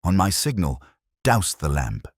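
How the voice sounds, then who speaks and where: A shrewd, commanding detective read by a male narrator speaks in a very low, husky voice. His phrases are clipped and precise, with lively swings of pitch, as in a mystery audiobook. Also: measured pace, no room sound, studio quality, heavily acted. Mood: hushed, intent.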